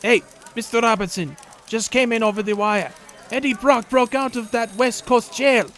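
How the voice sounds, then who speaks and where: A man's voice: extremely high pitch, fairly even intonation, normal speed, fairly bright tone. A man playing an eager newsroom messenger in a comic dub. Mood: urgent.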